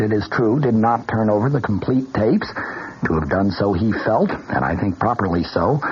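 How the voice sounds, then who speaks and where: A man giving formal, measured news commentary in the style of 1970s radio. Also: fairly low pitch, a little mellow. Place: radio studio. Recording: muffled, faintly noisy vintage radio broadcast.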